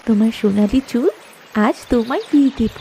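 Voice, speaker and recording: A woman's voice: fairly high pitch, a little fast, mellow, a little hiss or hum, lightly acted. A woman playing a kind young village wife in a Bengali cartoon story, coaxing and earnest. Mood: gentle. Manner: pleading, coaxing.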